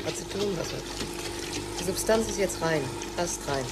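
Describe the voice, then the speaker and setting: A woman's voice: lowish voice, moderate pace, natural voice. An actress playing a sardonic, impatient female lab co-worker in a radio drama. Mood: wry. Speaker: laboratory co-worker character. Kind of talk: German radio drama acting. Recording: echoey noisy room.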